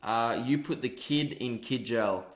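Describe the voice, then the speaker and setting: A man with a high-pitched voice hosts a casual podcast in an echoey room, swearing freely and joking. His talk is loose and teasing, full of quick asides. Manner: joking, sweary, reads out listener reviews. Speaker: podcast host. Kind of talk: casual chat.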